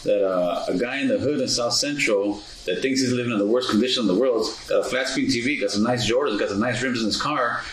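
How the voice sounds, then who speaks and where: Fast talkin host guy from honduras, lotta man and brother, echoey room. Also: medium pitch, a little hiss or hum. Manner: animated street talk, says man and brother a lot. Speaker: podcast host. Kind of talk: casual podcast interview.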